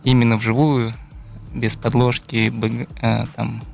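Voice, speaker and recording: A man's voice: medium pitch, flat delivery, slow, vocal fry. A young man from Buryatia, a guest musician, answering interview questions thoughtfully and searching for his words. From Buryatia, Russia. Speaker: guest musician, beatboxer and looper.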